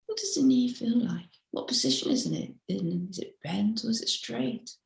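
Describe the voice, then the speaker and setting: A woman mindfulness teacher leading a slow guided meditation in a gentle, unhurried, instructive way. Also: a little high, lively intonation, vocal fry. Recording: roomy, echoey sound.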